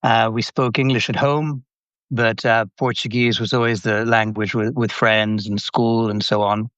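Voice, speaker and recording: A man from Brazil, a company CEO, speaking as a guest in a friendly, measured and reflective way. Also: lowish voice, level tone, a bit bright, no echo, muffled recording. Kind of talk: casual podcast interview.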